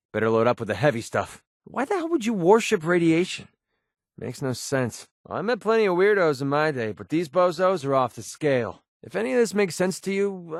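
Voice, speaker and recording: A man plays a young American gun-for-hire in a video game. He is a streetwise, cynical wisecracker who talks informally in a medium-pitched voice. The recording is dry and close, with no room sound. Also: no echo, natural voice. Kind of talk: video game companion dialogue acting.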